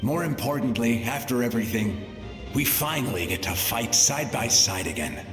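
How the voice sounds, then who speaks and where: A man's voice: medium pitch, animated, normal speed, a bit bright, roomy sound, big-room sound, faint music behind, close to an everyday voice. A man voicing a confident, battle-proven warrior spirit in a video game, hearty, direct and casual. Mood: eager, warm.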